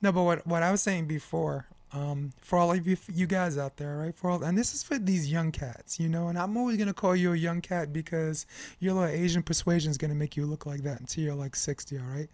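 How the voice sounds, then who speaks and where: A man's voice: on the high side, brisk, darkish tone, dry recording. An American man in his fifties hosting a podcast in casual chat, with a slangy, joking way of rambling into advice. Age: 50s. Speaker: podcast host.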